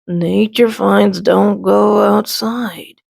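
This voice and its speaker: A woman plays a matter-of-fact clump of moss in a children's storybook. The delivery is very slow and deadpan, husky, with long drawn-out words. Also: mid-range voice, dry recording, studio quality, full character voice. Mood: placid, dismissive. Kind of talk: children's storybook read-aloud.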